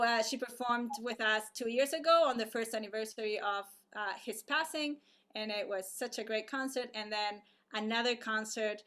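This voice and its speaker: A woman hosting an online arts event over a video call, introducing a guest in a halting way with many fillers and restarts. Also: on the high side, a bit bright.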